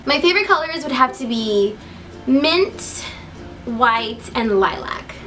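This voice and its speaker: A woman's voice: a little high, expressive, slow, bright, forceful tone, faint music behind. A young woman with an American accent chatting casually to camera as a vlogger, in a giggly, upbeat and talkative manner. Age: young adult. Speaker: vlogger introducing herself.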